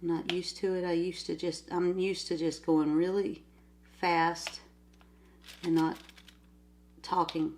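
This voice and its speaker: A woman's voice: fairly low pitch, little pitch movement, slow, long pauses, darkish tone, slight background noise. An older woman with a nasal voice from a cold, chatting casually at home while she does a craft, rambling from one topic to the next. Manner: rambling, chatty, easily sidetracked. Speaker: crafting vlogger. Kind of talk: casual chat while diamond painting.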